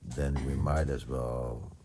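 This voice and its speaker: A man musing aloud in casual, reflective spiritual talk, with a halting delivery and a gravelly voice. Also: very deep voice, steady tone, noisy background.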